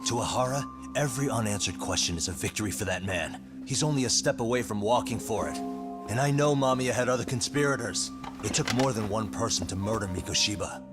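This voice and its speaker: Game-dub casting: man playing a dogged, cool private detective, very low voice, deliberate questioning, music underneath. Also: natural voice. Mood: pressing, insistent. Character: persistent adult male private detective, cool and probing. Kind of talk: English-dubbed video game drama.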